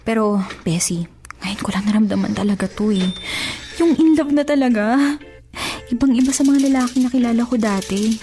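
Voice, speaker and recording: Actress for playful, hopeful Filipino young woman hopelessly in love. Highish girlish voice, soft music underneath, radio drama. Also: moderate pace, pauses often, natural voice. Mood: lovestruck. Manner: coy, girlish, emotive.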